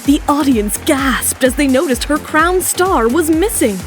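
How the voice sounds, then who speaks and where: A woman's voice: high-pitched, lively intonation, unhurried, faint music behind. A woman narrating a scripted romantic drama as a storyteller.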